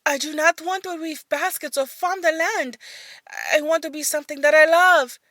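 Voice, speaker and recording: Lady doing a restless girl wanting freedom, earnest, a bit high, dry audio story sound. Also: moderate pace, a bit bright, no room sound. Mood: defiant. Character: restless young daughter longing to be free.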